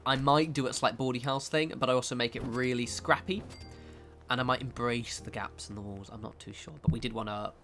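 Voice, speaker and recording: A man's voice: medium pitch, brisk, fairly bright tone, soft background music. A man, nasal and stuffed up from a cold, talking through a game building tutorial in a chatty, rambling way that keeps correcting itself. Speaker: game video creator.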